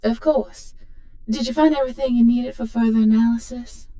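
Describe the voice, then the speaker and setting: Woman as female ship AI, echoing and distant, formal, polite, measured, soft dark tone, sci-fi roleplay. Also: fairly high pitch, lightly acted. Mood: polite, informative. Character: polite, dutiful female ship's computer AI. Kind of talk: sci-fi audio roleplay acting. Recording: roomy echo, distant from the mic.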